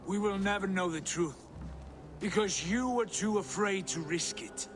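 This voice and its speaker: An actor playing a proud, bitter Viking prince with visions of greatness, haughty and declamatory in a dramatic video game. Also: male voice, high voice, unhurried. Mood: bitter anger. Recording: music behind the voice.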